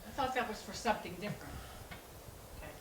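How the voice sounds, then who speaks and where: A woman's voice: mid-range voice, animated, fast talker, background noise, natural voice. A woman in a staged play reading, playing a concerned, persistent sister who questions her family insistently and with exasperation.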